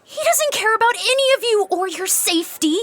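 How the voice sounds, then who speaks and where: A woman's voice: very high voice, lively intonation, fairly quick, fairly bright tone. An actress playing an indignant, outspoken young woman who aspires to be a beastmaster, in a fantasy comedy audio drama. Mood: outraged. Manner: exasperated, outspoken.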